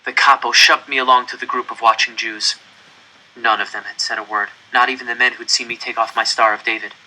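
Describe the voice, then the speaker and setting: A man reading a first-person story aloud in an expressive, deliberate, dramatic way. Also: medium pitch, low-quality recording. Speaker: audiobook reader.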